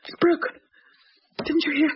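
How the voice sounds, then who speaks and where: A woman's voice: normal speed, close to an everyday voice. An actress playing a fearful British woman who senses unseen forces in a radio thriller, speaking in clipped, ominous phrases. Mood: alarmed, distressed. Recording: dull noisy radio drama recording.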